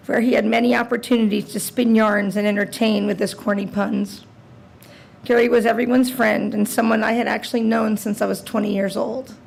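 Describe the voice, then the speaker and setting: A woman who is president of a teachers' union, hoarse and raspy from laryngitis, reading a prepared statement at a school board meeting. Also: on the high side.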